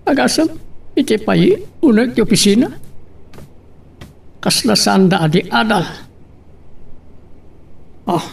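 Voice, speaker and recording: Exasperated, very gravelly boss. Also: male voice, high-pitched, big pitch swings, slow, long pauses, heavily acted. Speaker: radio drama actor. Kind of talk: Ilocano radio drama acting. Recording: slightly distant voice over faint music.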